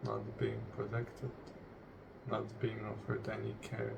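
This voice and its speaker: A man leading a guided meditation in a solemn, deliberate way, speaking in short, broken phrases. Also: on the low side, very animated. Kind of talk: guided meditation, spoken slowly and reflectively. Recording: distant mic with clear background noise.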